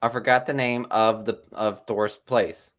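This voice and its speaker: A man's voice: lowish voice, fairly even intonation, a little slow, fairly bright tone. Laid-back, swearing podcast co-host man. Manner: casual, swears freely, hesitant with many "uh"s. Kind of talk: casual chat.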